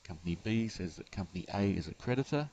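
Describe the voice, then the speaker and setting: Male software presenter, low voice, halting step-by-step walkthrough, unscripted screencast delivery. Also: animated, muffled recording. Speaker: software developer giving a demonstration. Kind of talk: unscripted screencast tutorial narration.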